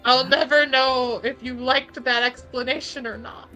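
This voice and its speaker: A woman's voice: high-pitched, steady tone, a little slow, music in the background, low-quality recording. A woman chatting casually and animatedly as a player in a tabletop game, slangy and sweary, often laughing.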